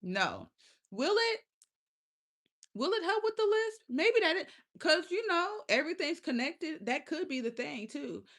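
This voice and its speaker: A woman with a lisp and a fairly high voice, hosting a relaxed stream. She talks straight to her chat in a loose, profane way. She laughs easily between her words. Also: a little slow. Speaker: livestream host. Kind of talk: casual livestream chat with viewers.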